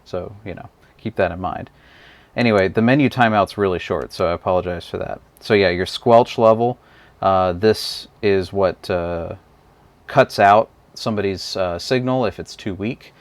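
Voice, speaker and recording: Low-voiced man explaining a gadget. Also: normal speed, no room sound. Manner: relaxed, explanatory, talks while handling the device. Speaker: product reviewer. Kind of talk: casual hands-on gadget walkthrough.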